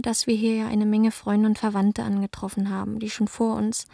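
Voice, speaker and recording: An actress in a radio play voicing a composed emigrant woman who reads her letter to a friend in a measured, reflective way. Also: a little high, creaky, warm tone, dry recording, studio quality.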